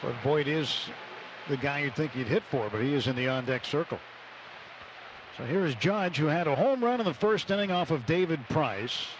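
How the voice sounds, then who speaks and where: A man's voice: on the high side, lively intonation, moderate pace, background noise. An American man commentating live on a baseball game for radio. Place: stadium broadcast booth. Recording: loud stadium ambience on radio broadcast. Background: loud ballpark ambience.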